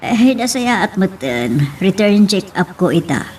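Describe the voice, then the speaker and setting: A woman's voice: medium pitch, big pitch swings, a little slow, a little mellow, a little hiss or hum. A woman with an Ilocano accent playing a middle-aged, grateful, chatty mother in an audio drama. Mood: friendly. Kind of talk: Ilocano radio-style drama acting. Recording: distant microphone, music behind.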